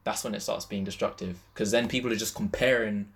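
Man, London accent, lowish pitch, podcast co-host, casual chat, slangy, conversational phrasing. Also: fairly even intonation, a little fast, creaky, a bit bright, breathy edge. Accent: British, London. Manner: slangy, conversational, peppered with "yeah" and "like".

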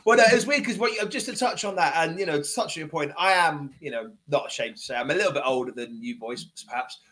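A man in his twenties who grew up in Wales, telling stories excitedly on a casual podcast, rambling with lots of fillers. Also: a little high, fast. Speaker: podcast guest. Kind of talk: casual chat.

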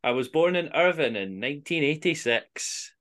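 A man's voice: on the high side, lively intonation, normal speed, a bit bright. A man with a Scottish accent hosting an informal quiz, reading out clues and scores. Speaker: quiz host on a football podcast.

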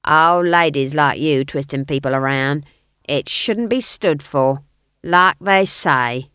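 Storybook guard: big surly man, acted by a woman, low, blustering, scornful, dry sound. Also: slow pace, a bit bright, clear, resonant tone, no room sound. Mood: blustering, threatening.

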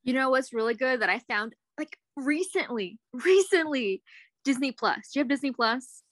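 A woman's voice: sits high, lively intonation, normal speed, fairly bright tone, dry recording. A young woman in casual chat, encouraging and full of "like" and "you know" fillers.

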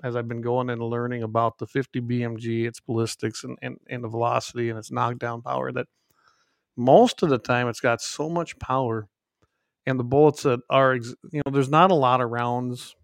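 A man's voice: mid-range voice, steady tone, normal speed, vocal fry, no echo, clean studio sound. A man, co-hosting a casual podcast over a glitchy online call, rambling with restarts and fillers. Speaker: podcast co-host. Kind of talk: casual remote podcast chat.

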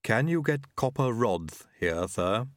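A man voicing a devoted, secretive male servant who speaks slowly and deliberately. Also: medium pitch, lively intonation, no echo, studio quality. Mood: guarded eager. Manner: slow, deliberate and guarded.

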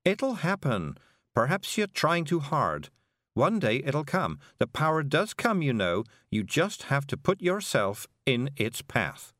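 Man voicing a cheerful witch mentor, pitched up. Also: big pitch swings, unhurried, a bit bright, no room sound, studio quality. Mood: patient, reassuring. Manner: cheerful, patiently explaining. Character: cheerful, patient older witch woman, gentle mentor. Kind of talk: audiobook character voice.

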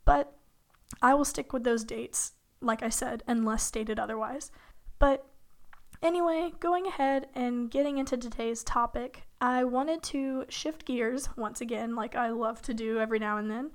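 A young woman hosting her own podcast in a casual, rambling way, her voice a bit hoarse from being sick. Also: a little high, moderate pace. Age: young adult. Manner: chatty, rambling, with fillers and light laughs. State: hoarse from illness. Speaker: podcast host. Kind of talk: casual solo podcast talk.

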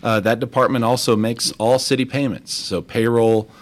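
A man from Texas, a city finance official, answering interview questions in a measured, explanatory way with frequent restarts. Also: mid-range voice, level tone. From Texas, United States. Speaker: guest, deputy city controller.

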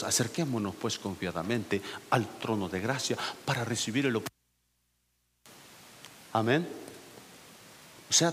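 A man's voice: medium pitch, a bit bright. A male pastor, preaching a sermon to his congregation, asking them questions and rising to emphatic peaks. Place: church sanctuary. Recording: echoey church hall with faint hiss.

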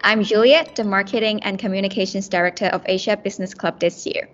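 A woman's voice: mid-range voice, fairly even intonation, fast. Young woman hosting a student podcast, lots of ums and likes, muffled sound w faint music under. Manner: hesitant, uses many fillers like "um" and "like". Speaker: podcast host, student club director. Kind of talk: podcast interview questions.

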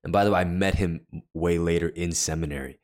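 A deep-voiced man, a podcast co-host and worship leader, telling a personal story in casual chat, rambling with many fillers and restarts. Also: steady tone, quick pace, a little mellow.

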